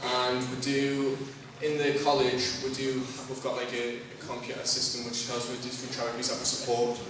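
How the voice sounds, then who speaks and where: A man's voice: medium pitch, completely flat delivery, moderate pace. A seventeen-year-old man giving a hesitant student presentation, full of ums. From northeast England. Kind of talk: class presentation to a group. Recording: echoey hall from far off, background noise.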